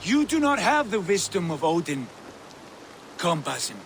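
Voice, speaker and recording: An actor playing an arrogant, hot-tempered Viking lord in a video game, scolding and imperious. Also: male voice, sits high, big pitch swings, a little slow, noisy background, full character voice. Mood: scornful. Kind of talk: video game cutscene acting.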